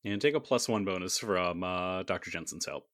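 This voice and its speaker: A man's voice: low voice, normal speed, very noisy. A man running a tabletop game as game master, narrating and bantering casually.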